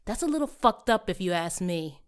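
A woman's voice: fairly low pitch, sing-song, moderate pace, clear, resonant tone. A woman playing a blunt, caring young coworker in an audio drama, talking casually and reassuringly. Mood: indignant.

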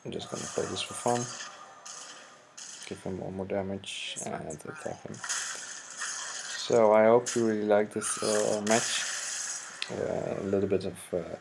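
A young man from the Netherlands with a Dutch accent, hesitantly commenting on his own card game match in halting English. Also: lowish voice, fairly even intonation, creaky. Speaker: gaming channel host. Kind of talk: gameplay commentary. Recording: noisy home mic recording.

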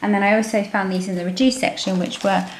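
A woman's voice: medium pitch, fairly even intonation, fast. A woman with a British accent chatting informally through a grocery haul as a family vlogger, filming at home on a handheld camera. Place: indoor room at home. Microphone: handheld camera microphone. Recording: roomy, noisy home camera recording.

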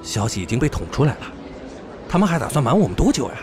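A man's voice: mid-range voice, big pitch swings, quick pace, over music, close to an everyday voice. A man playing an indignant, sarcastic company shareholder in an audio drama, with a blunt, complaining manner. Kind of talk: Chinese audio drama acting.

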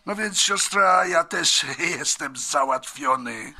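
A man's voice: a little high, lively intonation, takes it slowly, sharp tone, exaggerated voice. A man playing a brash, touchy, complaining brother in a radio drama, blunt and colloquial.

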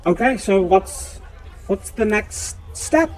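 A man's voice: high-pitched, animated, slow, a faint crowd, pitched above the performer's own voice. A man voicing a hesitant, trusting town official in a tabletop game. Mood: puzzled.

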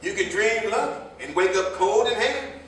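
Guy acting older american tailor spinning proud folksy tales in a play, high voice, mic far off in echoey room. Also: measured pace, a bit bright. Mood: sly. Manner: folksy, rambling, chuckling storyteller. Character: reminiscing older male tailor turned jitney driver, hard-drinking, proud. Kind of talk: staged play reading, storytelling monologue. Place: indoor theater stage, recorded from afar. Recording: echoey stage, distant mic, faint noise.

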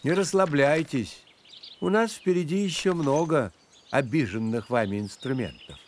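A man voicing a courtly, learned grasshopper who guides children through a cartoon, with expressive, measured storytelling delivery. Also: fairly high pitch, a little hiss or hum, natural voice. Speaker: narrator and guide. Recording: music playing behind the voice.

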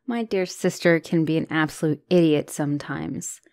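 A woman's voice: fairly low pitch, no echo, pitched below the performer's own voice, full character voice. A woman voicing an anxious, self-doubting young spider-woman girlfriend in an ASMR roleplay, speaking hesitantly and emotionally and often slipping toward a whisper. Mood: wry, worried.

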